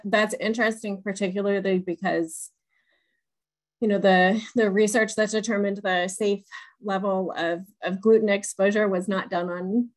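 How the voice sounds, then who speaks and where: A woman, putting questions conversationally as the moderator of a webinar. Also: mid-range voice. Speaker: moderator, patient-advocacy research director. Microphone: video call on wired earbuds.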